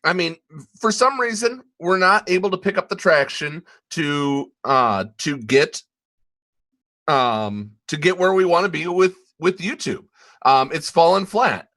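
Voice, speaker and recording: A man co-hosting a podcast in casual live-stream chat, halting, with many ums and restarts. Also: high voice, lively intonation, bright, forceful tone. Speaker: podcast co-host.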